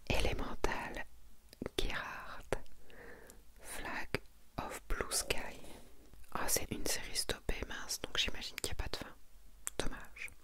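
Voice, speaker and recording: A woman speaking in a soft, breathy ASMR whisper, hushed and intimate, presenting and reading aloud in a casual way. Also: slow, pauses often. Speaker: ASMR creator presenting a manga collection.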